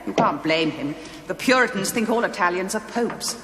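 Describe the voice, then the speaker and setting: An actress playing an imperious, middle-aged aristocratic English widow in a television play, with an upper-class accent and clipped, fretful delivery. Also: female voice, medium pitch, lively intonation, moderate pace, fairly bright tone, close to an everyday voice. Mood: haughty, fretful. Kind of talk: theatrical television play dialogue. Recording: echoey stone hall, faint noise.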